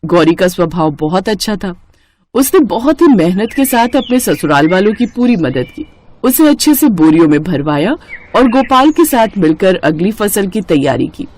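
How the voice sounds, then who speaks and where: A woman's voice: medium pitch, very animated, moderate pace, a little mellow, slight background noise. A woman reading scripted narration for a moral story as its narrator.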